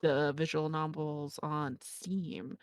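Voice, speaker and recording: A woman's voice: low-pitched, steady tone, slow pace, dry recording. A woman asking questions in a casual, conversational way as a panel interviewer. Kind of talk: casual chat.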